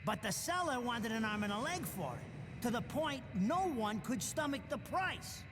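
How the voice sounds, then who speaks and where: An actor playing an excitable, greedy American small-time informant in a video game, slangy and wheedling. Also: male voice, extremely high pitch, normal speed. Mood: chatty, scheming. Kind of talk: video game cutscene acting. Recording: noisy, long echoing reverb.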